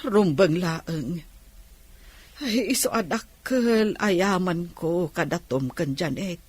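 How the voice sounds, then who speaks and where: A woman playing an elderly, injured village aunt with a Filipino accent and a husky voice, in an Ilocano radio drama. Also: on the low side, expressive, takes it slowly, no room sound, full character voice. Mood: grateful.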